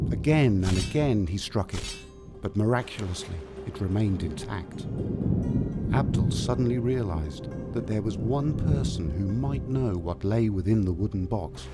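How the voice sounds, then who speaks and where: Guy narrating a fable, lowish voice, measured n dramatic, music underneath. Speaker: storyteller, narrator. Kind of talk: scripted dramatic storytelling narration.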